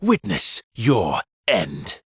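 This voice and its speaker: Battle-hardened immortal swordsman for a video game: lowish, deliberate, intense, breathy and husky, terse dramatic combat calls. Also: wildly swooping pitch, fairly bright tone, dry recording, clean studio sound, full character voice. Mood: grimly determined. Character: weary, battle-hardened immortal male swordsman, grimly determined.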